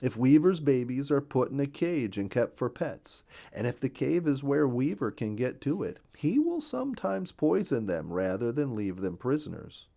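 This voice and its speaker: A man reading a children's nature story aloud as an expressive, careful storyteller. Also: mid-range voice, normal speed, vocal fry, few pauses.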